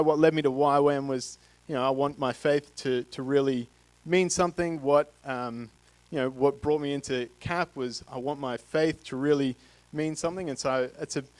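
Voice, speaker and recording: A man in his thirties with an Australian accent, speaking as a guest at a church and holding a handheld mic, halting and full of fillers and restarts. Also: on the high side, smooth, no echo, poor audio. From Queensland, Australia. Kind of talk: church talk to a congregation. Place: church stage with a live congregation.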